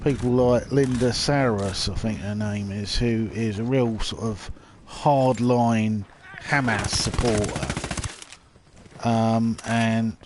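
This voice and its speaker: A man with a nasal, bunged-up voice from hay fever, rambling hesitantly with many pauses while he streams a video game. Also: lowish voice, darkish tone. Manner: hesitant, many ums and restarts. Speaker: livestreamer playing a video game. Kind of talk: casual rambling commentary over gameplay. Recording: game sounds and vehicle noise behind. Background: video game audio with vehicles.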